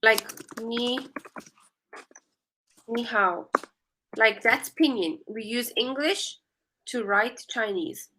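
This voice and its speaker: A woman's voice: on the high side, takes it slowly, pauses often, noisy background. A woman in her twenties with a South African accent, chatting as an English tutor on a video call and explaining things patiently. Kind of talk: casual video-call conversation.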